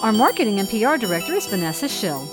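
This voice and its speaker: A woman's voice: mid-range voice, very animated, fast. A woman reading scripted closing credits as the announcer of a radio drama. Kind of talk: scripted narration. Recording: music under the voice. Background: music.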